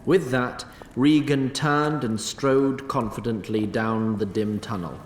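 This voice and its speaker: A man's voice: fairly even intonation, takes it slowly, soft background music. A man narrating a fantasy audio drama in a droll, wry, bookish manner. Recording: roomy echo, faint rumbling noise.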